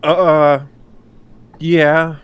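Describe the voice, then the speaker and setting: A man chatting casually in a halting, self-deprecating, sweary way as a tabletop player. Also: fairly high pitch, little pitch movement, smooth. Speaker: player on a tabletop game show. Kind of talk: casual chat.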